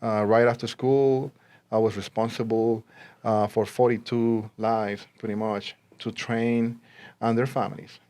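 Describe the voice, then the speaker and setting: A man's voice: lowish voice, little pitch movement, measured pace, darkish tone. A man in his forties from Puerto Rico, with a Puerto Rican accent, answering interview questions in a conversational way as a guest. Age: 40s. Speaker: interview guest, realtor and army veteran.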